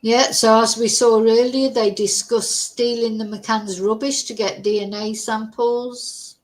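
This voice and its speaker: Slow-talking woman commentating. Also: fairly high pitch, flat delivery, rough recording. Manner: slow, chatty, reads news text aloud with asides. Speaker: true crime channel host. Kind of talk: casual commentary over a live news stream.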